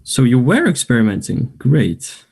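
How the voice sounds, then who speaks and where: A cocky, dismissive young man, performed by a man in a play reading. His voice is dark and relaxed at a middle pitch. The delivery is blunt and sardonic, in short clipped replies. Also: a little slow, breathy edge, exaggerated voice. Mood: irritated, cold. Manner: curt, sardonic. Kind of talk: play table read.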